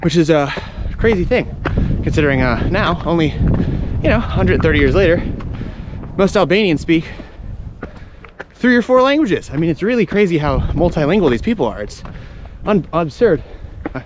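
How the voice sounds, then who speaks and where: A man's voice: fairly high pitch, big pitch swings, moderate pace, background noise. A man talking casually and informally as a travel vlogger, explaining things unscripted while walking around outdoors. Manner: chatty, informal, explaining as he explores.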